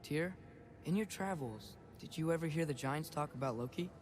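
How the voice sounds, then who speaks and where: A man's voice: a little high, moderate pace, a little hiss or hum, close to an everyday voice. A man playing an earnest, restless, hopeful teenage boy in a video game, eager yet tentative. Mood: curious. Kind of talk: dramatic video game acting.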